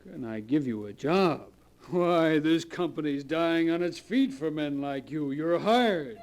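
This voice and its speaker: An actor playing a hearty, loyal college buddy, jovial and emphatic in 1940s radio style. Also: male voice, fairly high pitch, animated, unhurried, heavy background noise.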